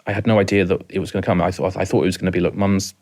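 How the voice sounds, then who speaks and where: Halting, low-voiced Welsh man. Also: level tone. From rural mid Wales. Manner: halting, repeats words, soft-spoken. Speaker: guest. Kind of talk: emotional personal storytelling.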